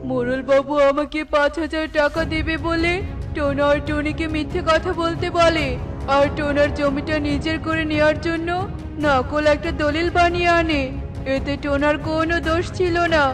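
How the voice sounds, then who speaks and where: Cartoon parrot sidekick, done by an actor: high voice, aggrieved, accusing, music underneath. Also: level tone, moderate pace, close to an everyday voice. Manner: complaining, accusing. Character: aggrieved parrot henchman blackmailing, then exposing his boss. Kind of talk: Bengali cartoon dialogue. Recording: loud music bed under voice.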